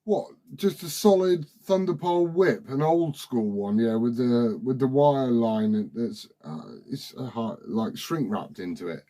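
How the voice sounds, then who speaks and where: A man's voice: medium pitch, a little slow, hardly any pauses, warm tone, a little hiss or hum. A forty-year-old man, chatting casually and familiarly over CB radio. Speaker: CB radio operator asking questions. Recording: CB radio transmission.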